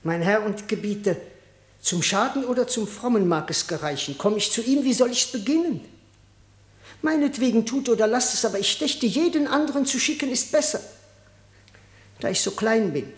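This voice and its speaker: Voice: medium pitch, expressive, normal speed, close to an everyday voice. An actor voicing a small, shrewd tomcat courtier in a radio play, speaking formal verse humbly and reluctantly. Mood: anxious, pleading. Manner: formal, deferential verse delivery. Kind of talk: classic German radio play of a verse fable. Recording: roomy, echoing studio radio recording.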